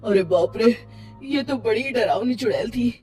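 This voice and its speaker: A jumpy, timid village farmer, played by a woman who keeps blurting out fearful cries. The voice is pitched very high for a man, with faint music under this cartoon. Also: lively intonation, normal speed, warm tone, breathy edge, far from the mic. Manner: panicky, fearful exclamations. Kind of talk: cartoon voice acting.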